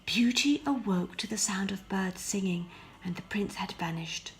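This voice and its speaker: A woman narrating a scripted fairy tale as an expressive storyteller, often hushing her phrases. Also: medium pitch, normal speed, rough recording. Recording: faint background noise.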